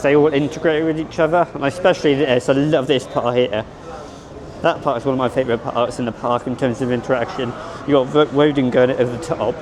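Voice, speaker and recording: A man vlogging at a theme park, rambling and unsure of himself, hoarse as he is losing his voice. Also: mid-range voice. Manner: rambling, self-correcting, unsure. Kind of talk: casual vlog commentary.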